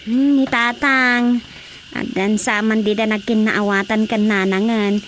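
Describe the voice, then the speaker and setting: A woman's voice: fairly high pitch, fairly even intonation, a little slow, fairly bright tone, slight background noise. A woman playing a worried married daughter in a Filipino radio drama comedy, speaking expressively with a Filipino accent. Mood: worried, concerned. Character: worried married daughter, concerned for her quarreling parents.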